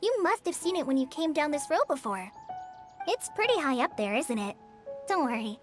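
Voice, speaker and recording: A woman voicing a shy, earnest teenage apprentice ranger in an anime-style game, youthful and soft, speaking politely with some hesitancy. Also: sits high, very animated, moderate pace. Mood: helpful. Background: music.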